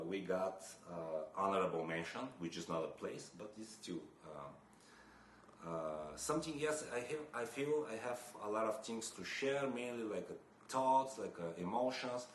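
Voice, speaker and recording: A young man from Bulgaria, talking casually to camera about photography, hesitant with many fillers and restarts. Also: low voice. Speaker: photography vlogger. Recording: echoey room with faint noise.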